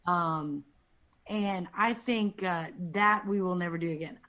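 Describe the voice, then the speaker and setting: Woman, deep raspy voice, casual guest telling stories about teaching. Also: a little slow, sharp tone. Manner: chatty, tells anecdotes with quoted asides. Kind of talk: casual chat.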